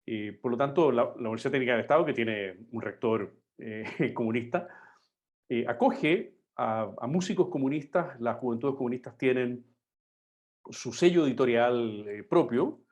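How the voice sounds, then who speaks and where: A man's voice: medium pitch, moderate pace, a little mellow, dull audio. An older man from Chile, a history professor giving a live online class in a loose, conversational lecturing manner full of fillers.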